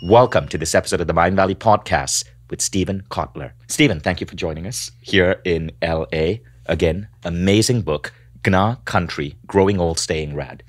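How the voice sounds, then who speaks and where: A man's voice: deep voice, normal speed, hardly any pauses, no echo. A man with a Malaysian accent hosting a podcast, asking questions in an enthusiastic, self-deprecating way. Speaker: podcast host. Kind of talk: podcast interview. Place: studio.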